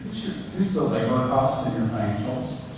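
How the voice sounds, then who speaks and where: A judge asks questions haltingly, with many fillers, at a middling pitch. The voice sounds far off in a big hall with a long reverb. Noticeable background noise runs throughout. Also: big pitch swings, darkish tone. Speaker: competition judge. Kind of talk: asking questions. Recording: echoey hall from far off, noisy.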